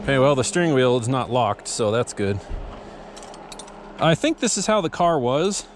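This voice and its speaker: A man casually narrating as he works on a vehicle recovery outdoors, matter-of-fact and relaxed. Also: medium pitch, normal speed. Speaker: volunteer vehicle recovery driver. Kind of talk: casual first-person narration while working. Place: outdoors on a forest trail. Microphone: head-mounted action camera. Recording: outdoor camera mic with noticeable background noise.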